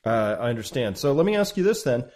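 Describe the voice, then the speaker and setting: A man's voice: medium pitch, very animated, fast, darkish tone. Hesitant male radio interviewer. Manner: probing, hesitant questions with fillers.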